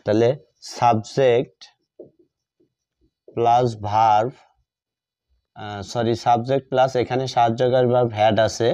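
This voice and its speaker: A man with a Bengali accent teaching a grammar lesson step by step, dictating in short, halting pieces and repeating himself. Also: mid-range voice, flat delivery, dark tone, smooth. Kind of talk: step-by-step lesson, explaining while writing. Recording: dull, muffled lo-fi audio.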